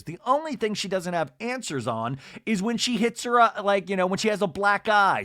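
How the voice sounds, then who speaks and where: A man hosting a solo podcast that recaps reality TV, talking in a lively, rambling, sarcastic way and swearing now and then. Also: high voice, dry recording, studio quality. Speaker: podcast host.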